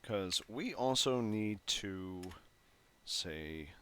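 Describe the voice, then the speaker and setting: A man's voice: fairly low pitch, lively intonation, very slow, pushed and bright, clean tone. A man with a phlegmy throat walking through code as he types, thinking aloud with fillers and self-corrections. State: phlegmy, clearing his throat. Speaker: programming tutorial host. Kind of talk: casual coding walkthrough. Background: keyboard typing and clicks.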